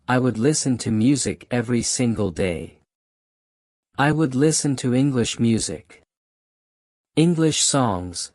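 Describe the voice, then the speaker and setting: A man's voice: medium pitch, a little mellow, no room sound, studio quality. A man with a Hong Kong Cantonese accent, a native Cantonese speaker, giving motivational advice to his viewers, emphatic and repeating key phrases. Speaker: channel host giving language advice. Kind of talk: motivational talk to camera.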